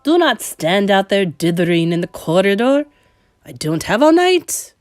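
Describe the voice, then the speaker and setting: A woman voicing an imperious female witch leader who snaps and shouts orders. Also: mid-range voice, very animated, a little slow, no room sound, clean studio sound. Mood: impatient.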